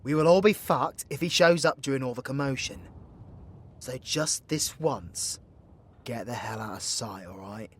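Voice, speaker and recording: A man's voice: medium pitch, measured pace, slightly rough. A British man playing a cocky, foul-mouthed, joking young warlock in an audio roleplay, with a cheeky, slangy delivery. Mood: serious, insistent.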